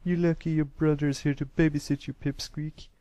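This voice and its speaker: A man's voice: on the high side, steady tone, normal speed, warm tone, clean tone, no room sound, voice pushed up, close to an everyday voice. A man voicing a cruel, mocking young colt bully in a storybook reading. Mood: scornful.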